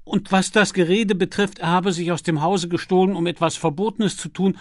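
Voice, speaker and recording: A man's voice: high voice, fairly even intonation, normal speed, no room sound, studio quality, pitched above the performer's own voice, lightly acted. A man voicing a loyal, sympathetic woman cook in an audiobook, gushing and emphatic. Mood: indignant sympathy. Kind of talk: audiobook character acting.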